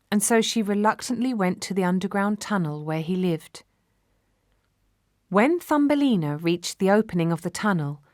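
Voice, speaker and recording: A woman storyteller reading a scripted children's fairy tale in a measured, expressive way. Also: medium pitch, no room sound, clean studio sound.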